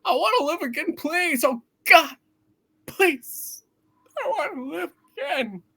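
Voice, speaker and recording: Radio play husband and father, played by a woman: desperate, pleading, higher-pitched, highly animated delivery. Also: takes it slowly, heavily acted. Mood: frantic despair. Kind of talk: hammed-up live radio play reading.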